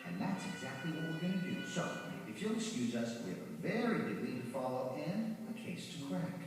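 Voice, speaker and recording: A man playing a sly, self-assured fox hustler in an animated film, smooth-talking and cocky. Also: on the high side, fairly quick. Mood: cool defiance. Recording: echoey, distant, music behind.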